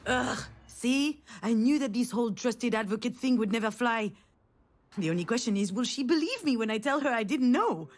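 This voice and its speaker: A woman's voice: fairly high pitch, animated, moderate pace. A woman playing a guarded, wry young failed screenwriter in a visual novel, with a dry, sardonic, confiding manner. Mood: exasperated. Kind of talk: visual novel voice acting with inner monologue.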